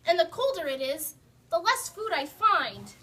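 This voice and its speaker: A woman voicing a gentle, loyal young male rabbit in a puppet show, with an earnest storybook delivery. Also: very high-pitched, very animated, a little slow, exaggerated voice. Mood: thoughtful. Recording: distant mic, faint noise.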